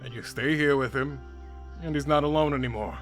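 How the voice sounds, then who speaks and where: Man acting a bright-voiced, laid-back wry skeleton. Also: mid-range voice, fairly quick, faint music behind, lightly acted. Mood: grave, blunt. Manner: casual, dry, needling. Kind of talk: fan comic dub acting.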